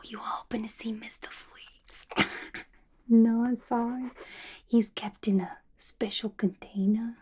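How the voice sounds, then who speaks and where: A woman's voice: a little high, dark tone, husky. A woman playing a playful, unhinged, gossipy female villain inmate in a chatty, conspiratorial whispered ASMR roleplay.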